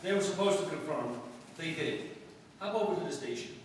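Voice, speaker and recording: A man's voice: on the high side, expressive, brisk, lightly acted. Male actor as practical, businesslike captain, brusque 1950s radio delivery, distant and very reverberant with audible noise. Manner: curt, businesslike. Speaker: amateur radio-play actor. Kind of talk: 1950s police radio drama acting. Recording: echoey hall from far off, clear noise.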